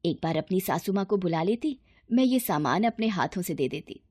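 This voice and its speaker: A woman's voice: fairly low pitch, expressive, normal speed, a little mellow, dry recording. A woman voicing a humble middle-aged mother in a moral story, meek and apologetic in manner.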